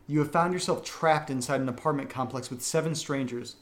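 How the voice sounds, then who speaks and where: A man's voice: mid-range voice, a little fast. A man co-hosting a podcast, chatting casually and reading out questions from listeners. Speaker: podcast co-host. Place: indoor room, live-streamed session. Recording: roomy, echoing room sound.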